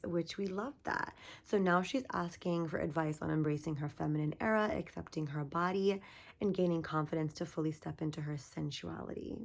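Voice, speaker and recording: Young woman podcast host, low, gravelly, hoarse from a lost voice, casual and chatty, recorded in her bedroom. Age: young adult. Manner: chatty, upbeat, frequent likes and laughs. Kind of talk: casual self-help podcast monologue.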